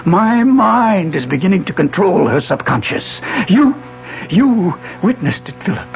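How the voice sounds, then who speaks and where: Intense old professor, gravelly, theatrical. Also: male voice, sits high, big pitch swings, unhurried, heavily acted. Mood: fervent. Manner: formal, declamatory, insistent. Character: obsessed elderly physics professor, intense, fervent, visionary. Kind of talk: 1970s radio drama acting. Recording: dull 1970s radio broadcast, faint music.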